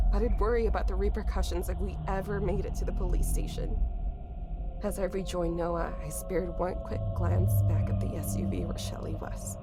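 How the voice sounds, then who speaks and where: A woman playing a shaken young female survivor, narrating a horror audio drama in a tense, halting, emotional way. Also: on the low side. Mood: grieving, tense. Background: music under the voice.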